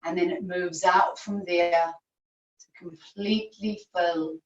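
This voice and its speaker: A woman teacher leading a guided yoga relaxation in measured short phrases with long pauses, recorded over a video call. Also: on the low side, fairly even intonation. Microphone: video call, at a distance. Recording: distant, echoey, muffled video-call recording.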